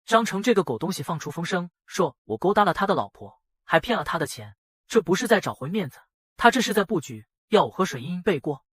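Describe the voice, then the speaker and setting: A man with a husky voice narrating a dramatized first-person story. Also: high voice, sing-song, quick pace, fairly bright tone, no room sound, clean studio sound. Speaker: narrator and voice of the male lead.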